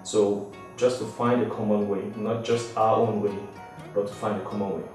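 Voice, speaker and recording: Flat-toned young man from Nigeria. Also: fairly low pitch, normal speed, faint music behind. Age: young adult. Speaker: former exchange student. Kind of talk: prepared statement to camera. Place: indoor room. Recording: echoey room, mic at a distance.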